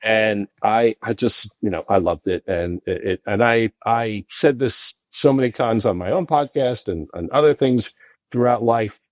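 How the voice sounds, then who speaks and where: A middle-aged man, a podcast guest telling long animated anecdotes full of restarts. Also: measured pace, no room sound. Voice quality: deep voice. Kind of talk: casual storytelling interview.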